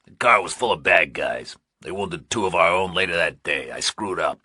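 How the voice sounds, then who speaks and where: A man performs a bitter ex-Marine for an audiobook. He is blunt and gruff, with a very low, husky voice and a weary temper. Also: lively intonation, moderate pace, bright, forceful tone, dry recording, studio quality, heavily acted. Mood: bitter, resigned.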